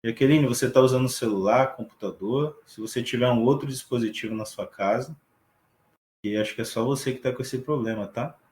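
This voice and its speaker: A man's voice: mid-range voice, fairly quick, echoey room. Chatty man teaching online. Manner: relaxed explaining with frequent check-ins to students. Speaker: sign language teacher. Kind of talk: online class lecture.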